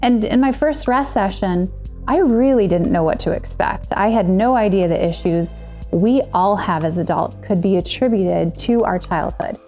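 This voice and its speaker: Woman, 30s, earnest testimonial, warm dark tone, faint music underneath. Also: mid-range voice, moderate pace. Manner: earnest, personal storytelling. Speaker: client and certified coach. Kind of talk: scripted testimonial.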